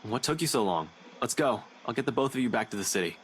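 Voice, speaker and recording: A man's voice: mid-range voice, expressive, fast, slight background noise, natural voice. An actor voicing a defensive, impatient young man in a visual novel game, exasperated and protesting. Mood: brisk.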